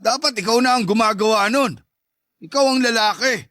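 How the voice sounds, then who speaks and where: A brash, sneering macho ex-husband from a radio love drama, played by a male actor. He pitches his voice high and sharp, taunting and bossing in a dry, close sound. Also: a little fast, no echo. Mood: threatening. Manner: taunting, domineering. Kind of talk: Tagalog radio-style love story drama.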